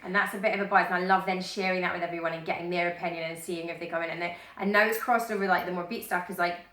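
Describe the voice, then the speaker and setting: A young woman with a Glaswegian Scottish accent, a radio presenter and DJ chatting excitably in an interview, full of "like"s and restarts. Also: lowish voice, rapid-fire, roomy sound. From Scotland. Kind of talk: casual interview chat.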